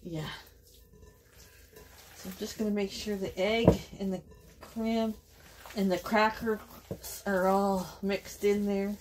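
A middle-aged woman with a Canadian accent, chatting to viewers in a relaxed, rambling way while cooking in her home kitchen. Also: medium pitch, takes it slowly, long pauses, noisy background. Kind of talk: chatty kitchen vlog narration. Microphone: camera on counter.

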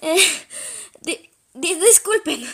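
Woman playing a very high-voiced teenage girl. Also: animated, slow pace, exaggerated voice. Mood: shy. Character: bossy but shy teenage girl shop worker. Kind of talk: amateur manga fandub acting.

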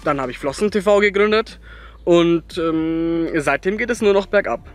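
A man's voice: sits high, moderate pace, soft background music. A man of 26 playfully hosting a Q&A video and reading out viewer questions, with a tongue-in-cheek manner. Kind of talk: upbeat scripted Q&A video presenting.

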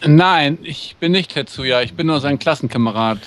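A man voicing a calm, polite teenage schoolboy in a visual novel. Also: fairly high pitch, moderate pace, faint music behind, close to an everyday voice.

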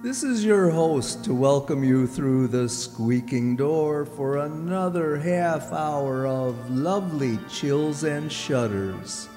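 A middle-aged man playing a genial, mock-spooky horror host in an old-time radio show, teasing and deliberate. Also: a little high, expressive, mellow. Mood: mock-spooky welcome. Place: on stage in a community hall. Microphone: stand microphone. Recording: echoey hall stage, music behind.

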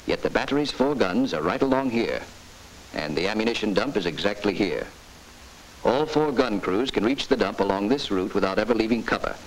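A man's voice: on the low side, low-quality recording. A man narrating a 1940s military training film in a stern, emphatic, instructive way. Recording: hissy 1940s film soundtrack.

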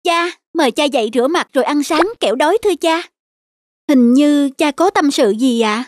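A woman voicing a gentle, devoted young peasant wife in an animated folk tale, speaking with tender respect over music. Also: high-pitched, moderate pace, dry recording, clean studio sound, close to an everyday voice. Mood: caring.

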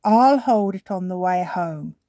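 A woman voicing a kindly old grandfather pig in a storybook reading, speaking gently. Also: lowish voice, animated, measured pace, dry recording, clean studio sound. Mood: careful. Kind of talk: storybook read-aloud.

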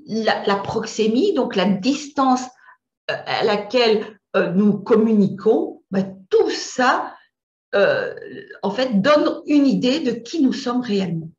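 A woman's voice: a little high, expressive, slow. A middle-aged woman from Belgium with a Belgian French accent, teaching an image coaching lesson over slides, often hesitating and repeating words as she searches for them. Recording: roomy, dull lo-fi sound.

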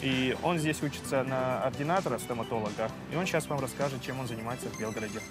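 Fast-talking young male host from Syria, upbeat, outdoors with street sounds and music. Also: mid-range voice. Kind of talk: presenting and interviewing.

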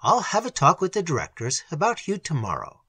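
Horror audiobook role: male reader as learned, concerned gentleman friend, earnest, slightly high and very animated. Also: a little fast, breathy edge, no room sound, heavily acted. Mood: soothing, concerned. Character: cordial, concerned scholarly gentleman soothing his friend. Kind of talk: dramatic horror audiobook acting.

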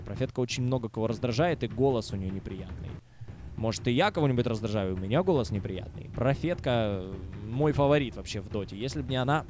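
A man's voice: medium pitch, darkish tone, a little hiss or hum. A man commentating a video game match live, with casual, sardonic asides to viewers. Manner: wry, sardonic. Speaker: esports commentator.

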